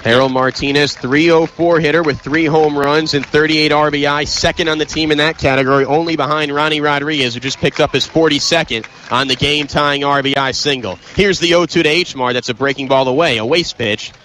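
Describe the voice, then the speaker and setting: Bright-voiced young American baseball play-by-play man. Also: on the high side, a little fast, hardly any pauses, a little hiss or hum, poor audio. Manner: emphatic, excitable on big plays. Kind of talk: live sports commentary.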